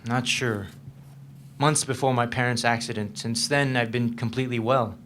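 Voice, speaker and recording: A man's voice: medium pitch, steady tone, normal speed, fairly bright tone, a little hiss or hum, lightly acted. An actor reading the part of a troubled, guarded man in a staged reading of a novel, with a hesitant, understated delivery. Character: troubled, guarded man confiding in his girlfriend, haunted by past.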